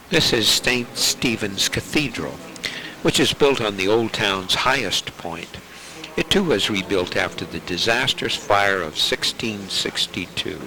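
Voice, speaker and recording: A man narrating a home travel video in a measured, informative way. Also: fairly low pitch, bright, forceful tone, a little hiss or hum, poor audio.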